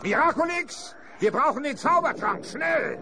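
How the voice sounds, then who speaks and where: An actor playing a small, clever Gaulish warrior who talks urgently and gives orders, in a radio play. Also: male voice, very high-pitched, lively intonation, a little slow, noisy background. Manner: urgent, commanding. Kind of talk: German radio play acting.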